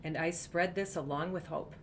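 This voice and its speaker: A woman with a very low voice speaks slow, gentle affirmations as a meditation guide. A faint rumble sits under the recording. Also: steady tone.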